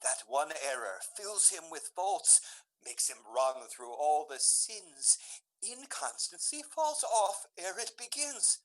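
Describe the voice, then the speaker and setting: A man's voice: on the high side, sing-song, takes it slowly, poor audio. An actor playing an ardent, faithless young male lover in a Shakespeare radio drama, speaking verse theatrically. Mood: awed, ardent.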